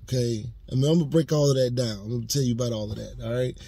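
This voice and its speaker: Gravelly man vlogging from his car. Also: mid-range voice, racing. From United States. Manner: relaxed, thinking aloud with fillers and restarts. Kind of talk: casual talk to camera. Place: parked car, seated inside. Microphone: camera on dashboard, facing him.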